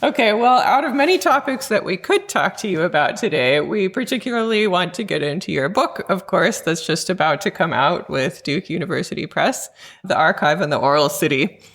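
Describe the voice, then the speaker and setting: A woman's voice: on the low side, lively intonation, a little fast, a bit bright. A woman hosting an interview podcast, asking questions in a friendly, upbeat way. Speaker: podcast host.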